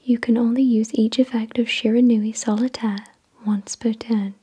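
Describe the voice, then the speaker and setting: A woman whispering in a breathy, unvoiced voice, reading in a gentle, measured ASMR style. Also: fairly high pitch, little pitch movement. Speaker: ASMR host. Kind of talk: whispered ASMR card unboxing, scripted reading.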